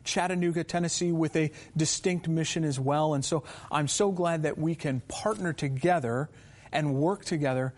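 A man hosting an interview show, asking questions in a warm, affirming way. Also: fairly high pitch, moderate pace. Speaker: host. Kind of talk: interview conversation.

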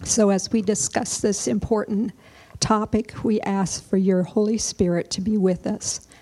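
A woman's voice: medium pitch, steady tone, unhurried, warm tone, rough recording. Earnest woman moderating a church panel. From Michigan, USA. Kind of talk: hosting a church panel discussion.

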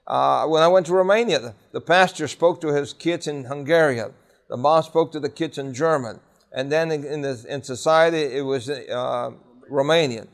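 A man's voice: fairly high pitch, normal speed. A man teaching a Bible class, conversational and emphatic, often asking the students questions. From New York City.

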